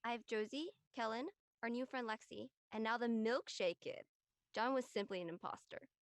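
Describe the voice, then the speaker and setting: A woman voicing a quirky, teasing high school freshman in a comedy drama, playful and mocking. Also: normal speed, no room sound, lightly acted. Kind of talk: comedic scripted audio drama dialogue.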